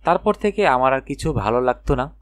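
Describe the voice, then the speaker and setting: A man reads a children's fairy tale as an audiobook narrator. His voice sits at an average male pitch and is expressive in delivery, captured very dry with no room sound. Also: fairly quick, dry recording.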